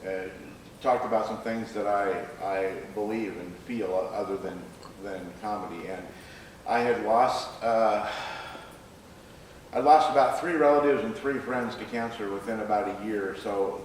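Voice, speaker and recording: A man's voice: fairly low pitch, measured pace. Distant, echoey man answering audience questions. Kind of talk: live Q&A after a staged reading. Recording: echoey room, distant mic, faint noise.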